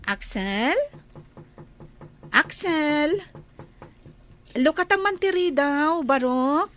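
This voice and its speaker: A polite young housemaid answers her employer with ready, attentive replies, as an actress plays her. Her voice sits high. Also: animated, extremely slow, fairly bright tone, exaggerated voice.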